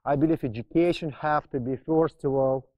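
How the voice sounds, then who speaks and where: A man's voice: on the high side, moderate pace, muffled recording. A man who speaks English as a second language, giving an instructional explanation as a horsemanship trainer, repeating key terms for emphasis. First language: not English. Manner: emphatic repetition of key terms, non-native grammar.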